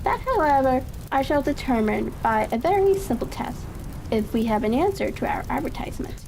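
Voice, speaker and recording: Genial gentleman detective read by a woman, storybook style, assured and courteous, high mellow voice, faint crackle behind. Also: big pitch swings, fairly quick, creaky, full character voice.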